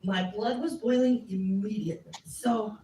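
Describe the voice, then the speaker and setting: A woman's voice: mid-range voice, normal speed, dark tone. An American woman giving a civics talk, answering questions from the audience in a candid, chatty, self-deprecating way. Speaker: civics education speaker. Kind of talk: casual Q&A at a community talk. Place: community meeting room. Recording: echoey room from a distance, faint noise.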